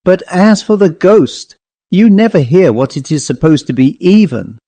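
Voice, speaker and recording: A man's voice: high-pitched, lively intonation, moderate pace, dark tone, no room sound, pitched above the performer's own voice. A man voicing a gossipy, matter-of-fact country woman in a storybook reading, conversational and genteel.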